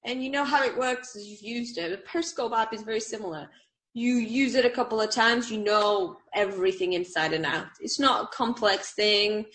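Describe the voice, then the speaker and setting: Bubbly Bulgarian-accented woman, fairly high voice. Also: moderate pace, fairly bright tone. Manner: bubbly, laughs often, many fillers. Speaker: online community manager. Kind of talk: casual interview chat.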